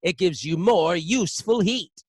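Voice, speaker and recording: An actor playing an earnest, polished 1930s radio pitchman delivering an emphatic advertisement. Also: unhurried, a little mellow, slightly rough, no echo, clean studio sound. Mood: salesmanlike. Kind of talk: old-time radio advertisement.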